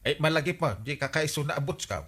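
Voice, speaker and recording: An actor playing a blustery comic village captain in an Ilocano radio drama, with an Ilocano accent and an incredulous, exclamatory manner. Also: male voice, fairly high pitch, lively intonation, fast, fairly bright tone, slightly rough. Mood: scolding.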